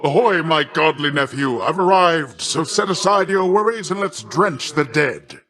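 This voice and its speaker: An actor playing a boastful, middle-aged sea god in a video game, a hearty and doting uncle with a grandiose, jovial, theatrical delivery. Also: male voice, fairly high pitch, lively intonation, unhurried, a bit bright, soft background music. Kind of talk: video game character voice lines.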